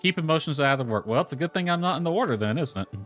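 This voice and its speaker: A man's voice: a little high, lively intonation, rapid-fire, a bit bright. A man livestreaming a video game, talking casually to himself and reading game text aloud. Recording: music playing behind the voice. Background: lo-fi music.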